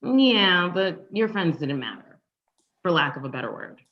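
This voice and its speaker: Actress as sarcastic wounded ex, very animated mid-range voice, pointed conversational delivery, slightly muffled recording. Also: female voice, on the low side, measured pace. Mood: confrontational. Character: wry, confrontational, wounded ex-girlfriend. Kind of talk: conversational table read acting. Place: online live stream table read.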